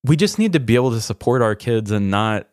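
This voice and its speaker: A man's voice: mid-range voice, very animated, brisk, clean tone, dry recording, clean studio sound. A man hosting a podcast, talking in a candid, reflective and self-deprecating way. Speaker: podcast host. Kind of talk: candid personal conversation.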